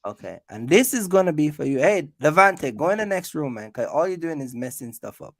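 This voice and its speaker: A man's voice: on the high side, very animated, brisk, fairly bright tone, no echo. Guy running a live money quiz, teases people, says stuff over and over, dry sound. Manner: teasing, repeats himself for emphasis. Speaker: host of a live quiz for his channel. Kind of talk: casual chat, game-show questioning.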